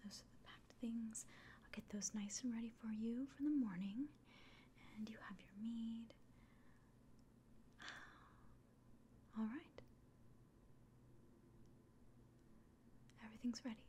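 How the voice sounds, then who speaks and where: Woman playing a whispering, slow-talking kindly fantasy innkeeper. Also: on the high side, steady tone, a little hiss or hum. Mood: soothing. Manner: gentle, reassuring, unhurried. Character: kindly, caring female fantasy innkeeper giving a quest. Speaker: ASMR roleplayer. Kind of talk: whispered ASMR fantasy roleplay.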